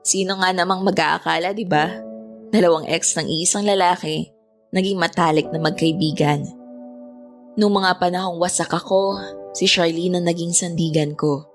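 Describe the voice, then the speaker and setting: A woman's voice: lowish voice, steady tone, normal speed, soft background music, natural voice. A woman with a Filipino accent acting a reflective young woman who narrates her own story in an audio drama. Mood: reflective, fond.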